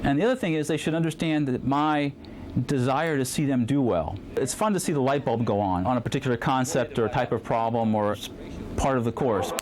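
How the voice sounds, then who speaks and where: A man speaks as a professor in an interview, unscripted. His voice has an average pitch for a man. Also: a little fast, a little mellow. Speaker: physics professor being interviewed. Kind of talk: spontaneous interview talk.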